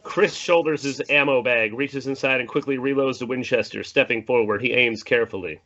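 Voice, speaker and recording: A man's voice: medium pitch, brisk, sharp tone. A man narrating the stage directions of a screenplay in an informal table read. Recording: dull lo-fi audio with faint noise.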